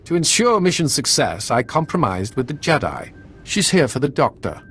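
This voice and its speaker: A composed sci-fi game spymaster, with a mid-pitched voice. He is formal, dutiful and courteous, measuring each phrase like an officer under orders. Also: sing-song, normal speed, a bit bright, breathy edge, soft background music.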